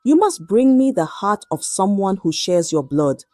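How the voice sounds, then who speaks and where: A woman voicing a stern, menacing male village chief priest in a folktale, grave and deliberate. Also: mid-range voice, big pitch swings, darkish tone, dry recording, studio quality, faint music behind. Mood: cold menace. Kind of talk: folktale character voice in narration.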